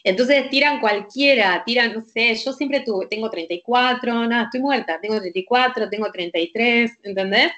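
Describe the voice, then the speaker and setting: A woman's voice: on the high side, normal speed, hardly any pauses, a bit bright. A woman with a Rioplatense Spanish accent, a doctor explaining at length in an insistent, emphatic way and often checking that the listener follows. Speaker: doctor guest. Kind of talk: heated conversational explanation. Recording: lo-fi dull audio.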